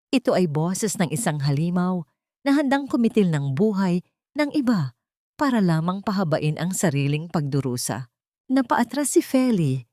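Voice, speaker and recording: A woman's voice: lowish voice, darkish tone, dry recording, studio quality. A woman narrating a scripted drama story in a dramatic style.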